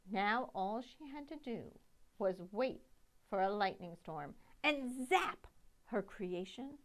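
A middle-aged woman reading a picture book aloud to children, with dramatic, deliberate phrasing. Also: mid-range voice, expressive. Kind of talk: expressive storytime read-aloud.

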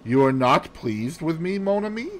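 A man's voice: fairly high pitch, sing-song, pitched above the performer's own voice. Man voicing a fussy, lilting detective. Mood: placid, gently challenging. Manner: precise, deliberate, peppered with French phrases. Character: fussy, placid Belgian male detective, growing old. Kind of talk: audiobook character dialogue.

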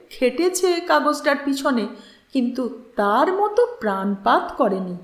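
Female audiobook narrator, very animated intonation, unhurried delivery, literary reading. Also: high voice. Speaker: channel host and story narrator. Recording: roomy, echoing voice recording.

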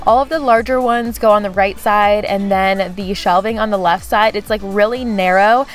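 A woman's voice: medium pitch, steady tone, normal speed, soft background music. Chatty woman narrating a home vlog. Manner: chatty, friendly, frequent "like" fillers. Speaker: home vlogger. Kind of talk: casual voice-over narration.

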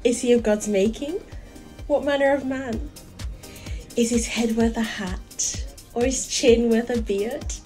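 High-voiced, impatient lovestruck young woman acted by a woman. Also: fairly even intonation, a little slow, mellow, faint music behind, close to an everyday voice. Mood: impatient, eager. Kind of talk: Shakespearean comedy, audio drama.

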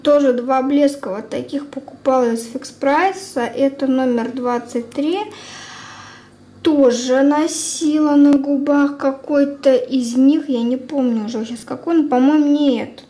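A young woman chatting casually through a product review as a beauty vlogger, informal and unhurried, thinking aloud. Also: high-pitched, fairly even intonation, dark tone. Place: indoors at a table at home. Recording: clear background room noise.

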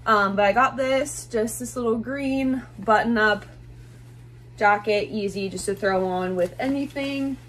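A woman's voice: a little high, measured pace, a bit bright. A young woman chats straight to a close camera from her bedroom, bubbly and gushing, dropping "like" every few words. A faint hum sits under her voice. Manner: chatty, gushing, many "like" fillers. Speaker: fashion vlogger. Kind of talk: casual thrift haul talk to camera. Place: small bedroom with bed, indoors.

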